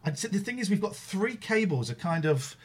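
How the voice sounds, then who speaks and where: Male show host with a chatty, effusive delivery and a voice set slightly high. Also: big pitch swings, a little fast, slightly rough. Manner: chatty and effusive, with playful asides. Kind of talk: casual chat.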